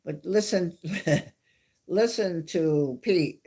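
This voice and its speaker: A woman with a New York accent hosting a casual live stream, chatting in a rambling way and laughing softly now and then. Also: low-pitched, extremely slow, husky. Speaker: livestream host.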